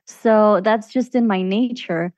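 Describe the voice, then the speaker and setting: A woman's voice: mid-range voice, slow, a little mellow, dry recording. Belgian woman on a dull, dry line. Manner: chatty, with fillers and restarts. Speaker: podcast guest, virtual assistant. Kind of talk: casual interview answers.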